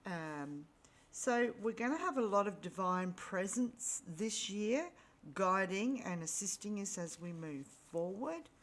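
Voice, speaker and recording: A woman with a slightly raspy voice, still recovering from losing it, giving an unhurried spiritual energy reading with frequent pauses and ums. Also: mid-range voice, lively intonation. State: recovering from lost voice. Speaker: spiritual reader.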